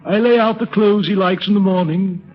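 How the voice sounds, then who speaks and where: An actor playing a stuffy, formal, slyly corrupt manservant in a 1930s radio comedy, prim and deadpan. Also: male voice, high voice, moderate pace, loud music behind the voice, natural voice. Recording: muffled 1930s radio recording.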